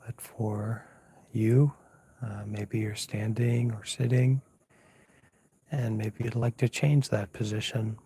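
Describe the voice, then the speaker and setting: A man speaks as a meditation teacher in a dark, mellow voice with little rise or fall. He is hushed and very unhurried, leaving pauses between short phrases. The delivery is soft, slightly husky and gently instructive. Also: fairly low pitch, level tone. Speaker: Buddhist monk, meditation teacher. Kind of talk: unscripted guided meditation. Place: outdoors at night, lying down under a mosquito net.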